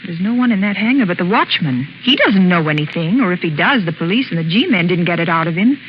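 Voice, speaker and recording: A woman's voice: fairly low pitch, animated, fast talker, close to an everyday voice. A woman playing a sleuth's earnest, worried young female companion in a 1930s radio drama. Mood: doubtful. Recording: noisy muffled 1930s radio recording, engine drone.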